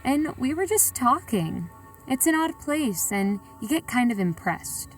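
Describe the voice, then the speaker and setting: A woman's voice: high voice, big pitch swings, measured pace, far from the mic. A plain-spoken woman recalling an uncanny outing in an audio drama. She is colloquial, musing and confiding, a little off the mic over faint music. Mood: reflective, uneasy. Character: plain-spoken, reflective female sightseer telling her uncanny story. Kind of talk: first-person audio drama narration.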